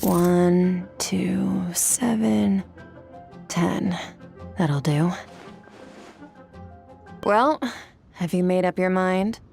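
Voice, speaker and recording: Cool, blunt young swordswoman for a game: lowish voice, terse and composed, faint music beneath. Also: very slow, gravelly, long pauses, a little mellow, full character voice. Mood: aloof, matter-of-fact. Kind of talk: video game cutscene acting.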